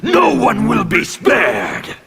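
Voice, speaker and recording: An actor playing a menacing male anarchist warrior who speaks with fervent zeal and bursts into shouts. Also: extremely high pitch, wildly swooping pitch, takes it slowly, exaggerated voice. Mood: fanatical. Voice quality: gravelly, intimidating.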